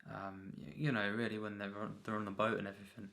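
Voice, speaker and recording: Gravelly, flat-toned man reviewing a film. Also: on the low side. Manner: halting, repetitive, frequent throat clearing and filler phrases. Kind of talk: unscripted, rambling film review.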